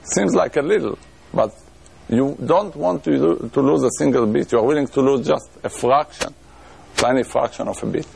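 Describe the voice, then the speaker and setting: Gravelly lecturer with an Israeli accent. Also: male voice, medium pitch, normal speed, a little mellow, slight background noise. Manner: thinking aloud with frequent restarts and fillers, occasional chuckles. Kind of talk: academic lecture on math. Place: lecture room.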